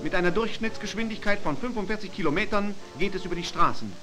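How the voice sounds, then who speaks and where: High-pitched 1940s newsreel man over loud music. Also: quick pace, low-quality recording. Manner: formal, declarative announcer delivery. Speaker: newsreel narrator. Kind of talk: 1940s German newsreel scripted narration. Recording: 1940s archival newsreel soundtrack.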